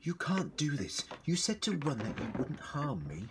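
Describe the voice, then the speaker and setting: An actor playing a wry, defiant captive adventurer pleading in an audio drama, dramatic and expressive. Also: male voice, a little high, moderate pace, noisy background. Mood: indignant. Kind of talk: fan audio drama acting.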